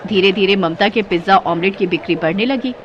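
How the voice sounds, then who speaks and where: A woman's voice: medium pitch, brisk, muffled recording, a faint crowd. A woman delivering scripted moral-story narration as the narrator.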